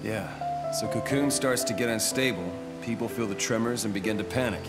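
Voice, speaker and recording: A man's voice: on the low side, normal speed, natural voice. Casting: male actor for young game hero, brash, stubborn, casually cocky; heavy score underneath. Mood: earnest, determined. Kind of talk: dramatic video game voice acting. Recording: loud game music behind the voice.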